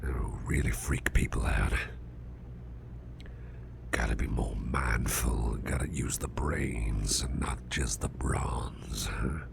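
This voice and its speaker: A man playing a big, strong, grumpy boss who is trying to stay calm, in a gravelly, breathy near-whisper for an ASMR roleplay. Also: rumbling low, background noise, exaggerated voice. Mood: restrained, concerned. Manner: slow, halting, murmured, restrained.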